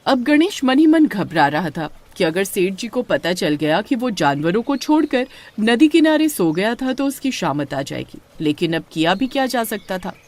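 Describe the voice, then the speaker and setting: A woman narrates a scripted horror story. Her voice sits at a middle pitch and moves up and down in an animated, storytelling way. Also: normal speed.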